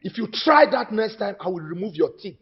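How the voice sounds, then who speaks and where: Gravelly, threatening Nigerian son. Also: male voice, sits high, big pitch swings, fairly quick, dull audio, crowd noise. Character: threatening, bullying grown son. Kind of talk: film drama acting.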